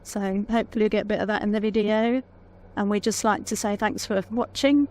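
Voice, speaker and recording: A woman with a naturally quiet voice, chatting casually on a vlog and laughing often. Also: medium pitch, steady tone, brisk. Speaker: motorcycle vlogger, pillion rider. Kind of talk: casual chat.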